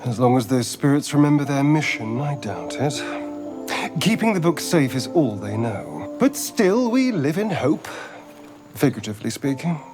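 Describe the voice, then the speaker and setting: An elegant, theatrical male elf from a fantasy game, played by a male actor. He is arch and easily irritated, and his pitch swings widely from phrase to phrase. Music sits behind him. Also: sing-song, moderate pace, close to an everyday voice. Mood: musing, wry. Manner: arch, theatrical, clipped asides. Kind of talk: video game voice acting.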